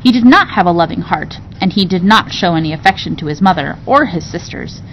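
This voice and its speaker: A woman reading a classic novel aloud in an expressive storybook narration style. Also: brisk. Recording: dull recording with low rumbling noise.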